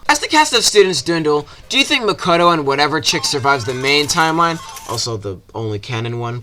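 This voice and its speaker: A man's voice: fairly high pitch, sharp tone. A man narrating a comedic scripted video game review with a sarcastic, exaggerated delivery. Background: music behind the voice.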